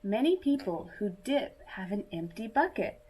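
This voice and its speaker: A woman reading a picture book aloud to young children in a deliberate, teacherly manner, as if speaking directly to her listeners. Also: medium pitch, big pitch swings, darkish tone, slight background noise, low-quality recording. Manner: asks listeners questions and pauses for answers. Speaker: children's story reader.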